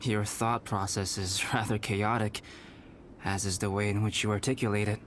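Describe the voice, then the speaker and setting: A man voicing a calm, scholarly young alchemist in a game, composed and precise with a dry wit. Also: fairly low pitch, normal speed, very noisy, natural voice. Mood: wry. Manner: composed, precise, softly wry. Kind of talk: game cutscene dialogue.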